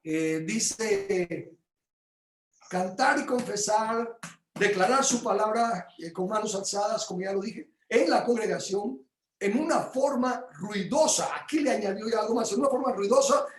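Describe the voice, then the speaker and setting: A man teaching his home group about praise in a casual but animated way, jumping into loud exclamations and stumbling over fillers. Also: sits high, measured pace, echoey room. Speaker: Bible teacher.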